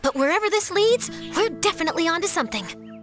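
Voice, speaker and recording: Eager, very high-voiced young journalist. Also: female voice, sing-song, fast, over music. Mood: intrigued. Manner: perky, articulate, inquisitive. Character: eager, inquisitive young female newspaper journalist. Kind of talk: animated video game voice acting.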